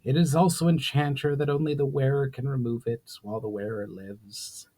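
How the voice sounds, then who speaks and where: Guy doing a fire spirit sorceress lady, grave n cryptic, formal old-timey fantasy talk, measured. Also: medium pitch, fairly even intonation, mellow, close to an everyday voice. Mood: grave, instructive. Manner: formal, measured, archaic phrasing. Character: solemn, cryptic flaming sorceress spirit, female. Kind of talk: dramatic fantasy audiobook reading.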